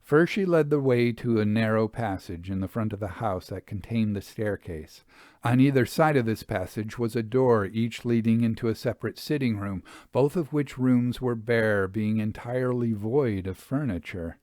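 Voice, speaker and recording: Measured male storyteller, dry close recording. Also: on the low side, a little mellow, no echo. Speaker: narrator reading a book aloud. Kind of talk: scripted storytelling narration of a Victorian ghost account.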